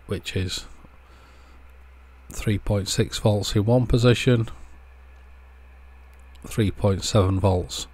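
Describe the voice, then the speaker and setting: Low-voiced man narrating an electronics demonstration. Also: steady tone, slow, long pauses, darkish tone. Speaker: host of an electronics repair channel. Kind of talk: hands-on demonstration voice-over.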